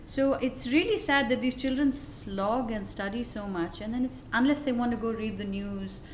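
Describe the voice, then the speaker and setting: A woman's voice: on the high side, moderate pace, slight background noise. A woman in her forties from India, a guest on a podcast, chatting and laughing often. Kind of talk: podcast interview. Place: room in Dubai. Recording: roomy echo.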